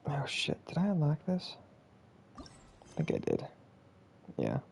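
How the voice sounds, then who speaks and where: A man casually talks through his game live, half muttering to himself. His pitch swings widely and often drops into a gravelly creak. There is faint noise behind him. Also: wildly swooping pitch, unhurried, darkish tone. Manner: muttering to himself, thinking aloud. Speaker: video game streamer. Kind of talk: casual live gameplay commentary.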